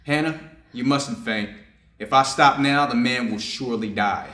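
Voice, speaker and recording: The room rings around a mid-pitched male voice. It is a man acting a stern, conflicted Japanese doctor in a readers' theater drama, giving orders over a wounded enemy. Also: moderate pace, pushed and bright, natural voice. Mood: stern, tense. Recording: echoey room.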